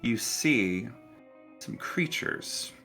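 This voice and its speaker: A man acting as game master, narrating casually and haltingly with frequent pauses. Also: mid-range voice, animated, pushed and bright, faint music behind. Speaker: game master of a tabletop role-playing game.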